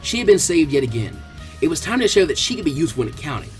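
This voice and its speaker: A man's voice: a little high, very animated, fast talker, faint music behind. A man narrating fan fiction as a scripted story reading.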